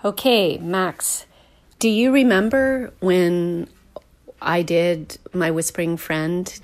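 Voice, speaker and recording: A woman's voice: lowish voice, steady tone, takes it slowly, no echo. A woman interviewing someone in a conversational, personal documentary style.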